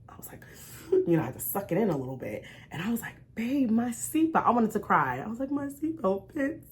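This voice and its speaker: A woman's voice: on the high side, big pitch swings, a little fast. An American woman vlogging in a candid, casual storytime style, talking in an emphatic, encouraging, conversational way. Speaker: vlog host.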